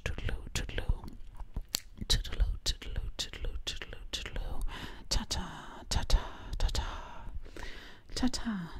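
A young woman with a Dutch accent whispers breathily, close to the mic, in a rambling ASMR style, repeating words over and over. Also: medium pitch. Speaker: ASMR performer in a zombie princess role play. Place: dim living room indoors. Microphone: close to the mic, ear to ear.

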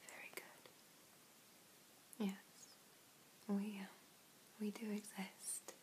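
Young woman doing an old vampire lady asmr, all whispery and slow, soothing protective mentor type. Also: medium pitch, monotone. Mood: reassuring, protective. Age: 20s. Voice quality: breathy unvoiced whisper. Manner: slow, hushed, soothing, with long pauses. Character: ancient female vampire, protective, gentle mentor to a newborn. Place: small indoor room.

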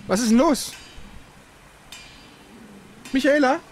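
Grumbling livestream host with background noise. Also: high voice. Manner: grumbling, exasperated, halting. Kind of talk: casual livestream chat during technical trouble.

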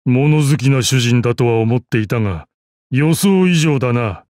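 An actor playing a proud, battle-hardened old martial arts master in a game, with gruff dignity, dry amusement and archaic old-man speech. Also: mid-range voice, lively intonation, normal speed, a little mellow, dry recording, natural voice. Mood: wry, fond. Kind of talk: Japanese mobile game character voice lines.

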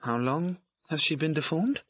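An actor playing a lovesick young gentleman and master in a classical audio drama, lofty and earnest. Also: on the high side, expressive, moderate pace. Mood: earnest, bantering. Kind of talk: classical stage-style audio drama acting. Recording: dull, band-limited vintage audio recording.

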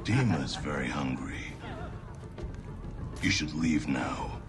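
An actor playing a huge, intimidating crime lord, curt and ominous, in a video game. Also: male voice, a bass voice, lively intonation, slow, over music, heavily acted. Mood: cool menace. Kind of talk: video game cutscene acting.

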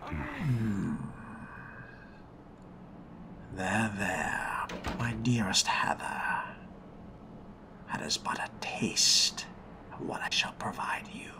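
A man as a suave male vampire, low whispery voice, slow theatrical delivery, possessive, menacingly tender, game roleplay, music behind. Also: wildly swooping pitch, full character voice. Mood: seductive, soothing. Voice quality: breathy, whispery. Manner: slow, theatrical, refined diction. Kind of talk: in-character roleplay narration of a video game.

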